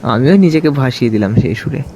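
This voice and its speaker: A man telling a detective thriller in the first person, in an expressive, conversational storytelling style. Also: mid-range voice, a little fast. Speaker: narrator of an audio story.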